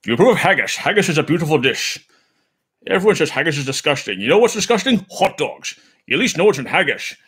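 A man's voice: very animated, fast, slightly rough, exaggerated voice. A man putting on a boisterous Scottish ranter. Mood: indignant. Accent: put-on Scottish. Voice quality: slight lisp in the put-on voice. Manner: loud, emphatic, higher-pitched. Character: boisterous Scottish man ranting about food.

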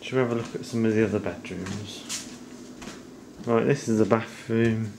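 A middle-aged man giving a casual, low-key, off-the-cuff house tour as he walks around indoors with a handheld camera. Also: steady tone, normal speed, gravelly. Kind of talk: casual off-the-cuff narration while filming. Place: indoors, walking through rooms of a house. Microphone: handheld camera at arm's length. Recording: noisy handheld camera audio.

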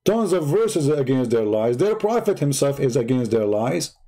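A man whose first language is Arabic, hosting a heated live stream and hammering at his opponents with mocking rhetorical questions. His voice is dark and a bit husky, with a combative edge. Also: medium pitch, sing-song, normal speed. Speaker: online debate host.

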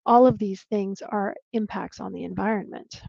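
A woman archaeologist giving a slide talk over a video call, explaining clearly and sometimes restarting a word. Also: mid-range voice, steady tone, normal speed, mellow, no room sound. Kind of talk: presenting a lecture with slides. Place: home room on a video call. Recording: dull, lo-fi dry video call audio.

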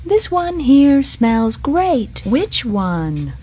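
A gossipy, chatty lady in a comic musical number, teasing in a playful back-and-forth. Her voice is high and lively, with slow, drawn-out lines. Music plays behind her. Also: heavily acted. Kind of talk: sung comic musical dialogue.

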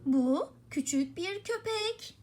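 Woman, extremely high voice, mother teaching a small child, encouraging, affectionate, singsong question-and-answer. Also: expressive, slow, darkish tone.

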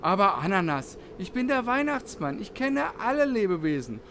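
Children's audio play: actor for kindly old Santa, high-voiced, fretful, sighing, noticeable background noise. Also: male voice, very animated, normal speed, a bit bright, full character voice. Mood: kindly, reassuring. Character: worried, kindly old male Santa Claus.

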